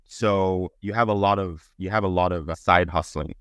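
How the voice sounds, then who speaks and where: A man's voice: low-pitched, fairly even intonation, moderate pace, fairly bright tone, smooth, no room sound, studio quality. A middle-aged man from Zambia who later lived in Belgium, giving conversational, explanatory interview answers as a podcast guest and thinking aloud. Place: indoors on a video call.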